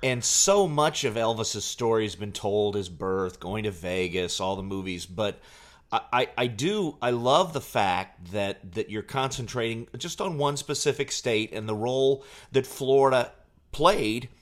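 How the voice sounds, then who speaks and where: A man co-hosting a podcast in casual chat, with a halting way of talking that repeats words. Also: fairly low pitch. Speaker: podcast co-host, Elvis tribute artist.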